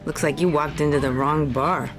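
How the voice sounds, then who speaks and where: A woman's voice: sits low, fairly even intonation, normal speed, over music, natural voice. An actress playing a brusque, world-weary woman at a dive bar in a video game, dry and teasing. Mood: dismissive, amused.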